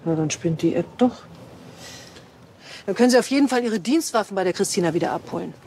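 An actress playing a skeptical, sardonic police boss in a comic radio drama. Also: female voice, medium pitch, lively intonation, brisk, warm tone, slight background noise, natural voice.

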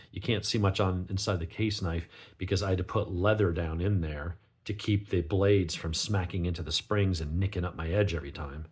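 A man with a gravelly, sniffly voice from a cold, giving a casual unscripted product review in a rambling, conversational way. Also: sits low. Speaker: knife reviewer, channel host.

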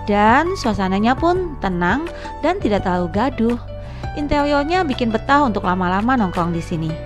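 A woman doing a casual, chatty vlog voice-over, addressing viewers as friends. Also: medium pitch. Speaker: vlogger and narrator.

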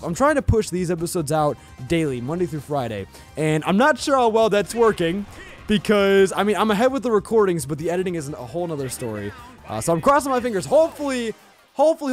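A young man with a Southern US accent, rambling excitedly and casually as he commentates his Let's Play at home. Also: fairly high pitch. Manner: chatty, rambling, excitable, says bro and yo. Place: home recording setup with webcam. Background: faint game music.

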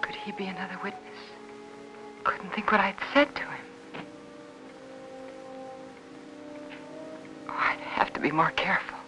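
An actress in her thirties with an American accent playing an anxious, pleading housewife in a fifties film melodrama, halting under strain. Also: mid-range voice, in a whisper, music in the background, natural voice, poor audio. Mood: inward, fearful narration. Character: anxious, pleading suburban housewife guarding a secret. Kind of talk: 1950s melodrama film acting. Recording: hissy old 1950s film soundtrack.

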